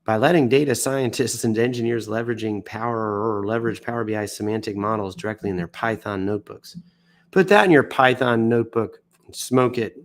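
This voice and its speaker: A man's voice: lowish voice, fairly quick. An American man hosting a livestream, reading news posts off the cuff in a theatrical, jokey way with exaggerated emphasis, sounding a bit under the weather. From United States. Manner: theatrical, swoops into exaggerated emphasis and self-mocking asides. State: sick and tiring, coughing now and then. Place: indoors.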